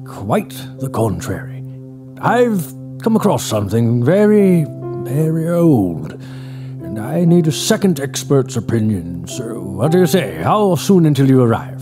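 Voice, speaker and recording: A man's voice: mid-range voice, sing-song, unhurried. A man playing an eager retired scholar. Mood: urgent. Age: older. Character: scholarly retired male doctor, obsessive, brusque. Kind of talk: audio drama acting. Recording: music score underneath.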